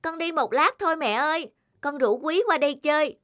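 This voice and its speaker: A kind little cartoon boy. The voice is high, eager and politely coaxing, with music underneath. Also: a little fast, fairly bright tone. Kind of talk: animated moral fable voice acting. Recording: music behind the voice.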